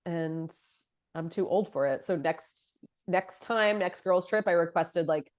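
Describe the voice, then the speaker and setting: A woman in her thirties, a podcast co-host chatting casually, her voice deep and nasal from a head cold, self-deprecating and full of fillers. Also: unhurried, darkish tone. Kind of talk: casual chat.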